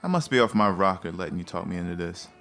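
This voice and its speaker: A man's voice: low voice, animated, fast, a bit bright, faint music behind. An actor playing a subdued, unsure aging male prizefighter in an audio drama.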